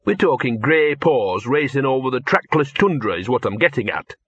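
A scruffy, cynical talking dog, as a male reader puts him on, grumbling in a world-weary way at a raised pitch. The voice is husky and the tape sounds dull and lo-fi. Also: expressive, normal speed, a bit bright, dry recording.

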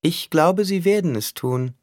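A man's voice: on the high side, animated, a little slow, dry recording, clean studio sound, pitched above the performer's own voice. A man voicing a cunning shapeshifter villain in an audiobook, smug and taunting as he negotiates with menace. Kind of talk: audiobook character acting.

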